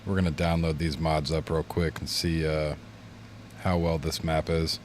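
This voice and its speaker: A man's voice: extremely low pitch, level tone, normal speed, slight background noise. A man streaming games and chatting casually with viewers, laid-back and rambling, his chest congested from a recent illness. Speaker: game streamer. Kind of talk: casual livestream chat. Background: fan blowing near the mic.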